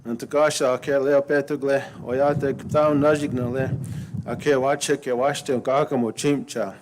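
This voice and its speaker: Pria yang berbicara ragu dengan suara bising, diikuti doa berirama. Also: male voice, mid-range voice, background noise. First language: Lakota. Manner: hesitant, many fillers, then steady chanting prayer. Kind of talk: halting ceremonial remarks and prayer.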